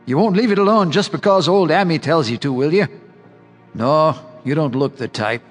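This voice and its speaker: Husky old male farmer, played by an actor, gruff, halting storyteller, radio drama, long reverb, faint music. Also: on the high side, natural voice. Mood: grim, reminiscing. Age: older. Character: crotchety old farmer recalling a dark past.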